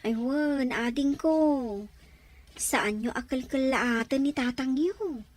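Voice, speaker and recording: A woman playing a concerned aunt giving advice in an Ilocano audio drama, measured in manner, with an Ilocano accent. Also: high-pitched, a little mellow, pitched below the performer's own voice. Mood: cautioning. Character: concerned, advising older aunt or neighbour woman.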